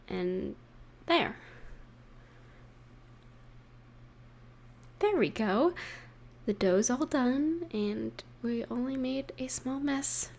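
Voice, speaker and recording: An affectionate girlfriend in an intimate roleplay audio, acted by a woman. Her voice sits somewhat high and she talks very slowly, leaving pauses. She is gentle and playfully teasing as she addresses her partner. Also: full character voice. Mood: affectionate, relaxed.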